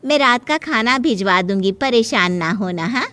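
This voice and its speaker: A woman's voice: a little high, lively intonation, normal speed, bright, forceful tone, soft background music. A woman voicing a chatty, good-natured visiting older woman in a cartoon moral story, sociable and coaxing. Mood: friendly.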